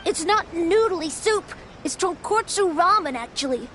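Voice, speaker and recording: A woman's voice: very high-pitched, lively intonation, a little slow, fairly bright tone, a little hiss or hum, heavily acted. A woman voicing a friendly, proud young boy in a video game, with an emphatic, exclamatory way of talking. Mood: surprised, proud.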